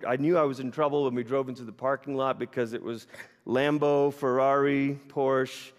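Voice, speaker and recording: Pastor guy, kinda high voice, funny animated stories, big echoey church. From Malaysia. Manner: animated storyteller, self-deprecating humor. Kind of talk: sermon with humorous stories. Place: church sanctuary. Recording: long reverb of a large hall.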